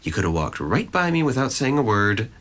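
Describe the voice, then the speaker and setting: A man's voice: medium pitch, sing-song, fairly quick, fairly bright tone. A man acting a guarded, gloomy young man in an audio drama, with a dry, sarcastic, wordy delivery. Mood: teasing, challenging. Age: young adult.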